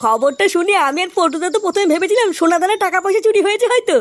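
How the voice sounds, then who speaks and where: Very squeaky, fast-talking cartoon rascal. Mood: alarmed, excited. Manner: crude, slangy, excitable. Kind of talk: comic cartoon dialogue.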